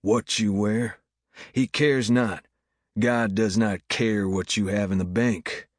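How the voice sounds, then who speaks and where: A man voicing a fervent, commanding revival preacher in an audiobook, with declamatory, drawn-out oratory. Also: on the low side, dry recording, clean studio sound. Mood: thundering, insistent. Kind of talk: old-time revival sermon.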